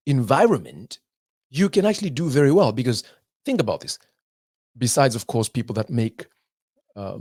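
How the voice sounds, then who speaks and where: A man's voice: medium pitch, a little mellow, slightly rough, no echo, studio quality. Emphatic man giving a motivational talk. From Zimbabwe. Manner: emphatic, with repeated phrases and drawn-out pauses. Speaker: motivational speaker.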